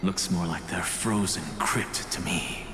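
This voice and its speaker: A man's voice: deep voice, unhurried. A man playing a proud, wry professor in a fantasy game, theatrical, erudite and sardonic. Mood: wry musing. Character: proud, wry male scholar professor, a spectral thought. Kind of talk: dramatic game voice acting. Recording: echoey hall reverb with music behind. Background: music.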